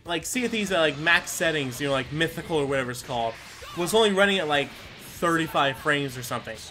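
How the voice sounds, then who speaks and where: A man's voice: fairly high pitch, animated, fast talker, bright, forceful tone, slight background noise. A man giving casual, rambling gameplay commentary as the host, self-deprecating and given to mild swearing. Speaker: gaming video host.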